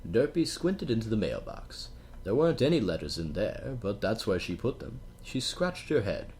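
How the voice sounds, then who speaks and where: A man's voice: fairly low pitch, very animated, moderate pace, darkish tone, slight background noise. A man narrating a storybook, slipping into playful, teasing asides to the listener. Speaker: audiobook narrator. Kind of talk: storybook reading.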